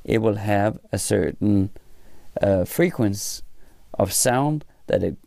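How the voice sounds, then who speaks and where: A man giving an informal hands-on technical explanation in a halting way, often pausing mid-sentence. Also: lowish voice, expressive, vocal fry, a little mellow, no echo, clean studio sound. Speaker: presenter of a hobby electronics tutorial.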